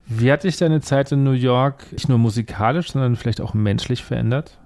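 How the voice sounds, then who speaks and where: A man interviewing a musician in a relaxed, conversational way, asking questions and sharing his own experiences. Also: medium pitch, a little fast.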